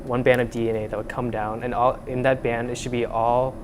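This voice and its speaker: A man's voice: medium pitch, flat delivery, a little fast, background noise. A young man from Vietnam, a student researcher, talking earnestly in a casual interview with many fillers and restarts in a lab room. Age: young adult.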